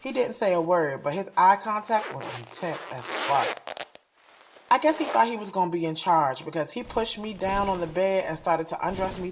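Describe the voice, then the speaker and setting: A woman's voice: lowish voice, slight background noise, lightly acted. A woman playing a frank, sassy young woman in an audio drama, candid and slangy as she tells of her love life. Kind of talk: first-person audio drama narration and dialogue.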